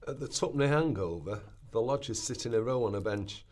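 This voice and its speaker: A man's voice: mid-range voice, expressive, a little fast, darkish tone. A man giving a scripted reading of literary and historical passages in a radio play rehearsal. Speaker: reader of quoted historical accounts.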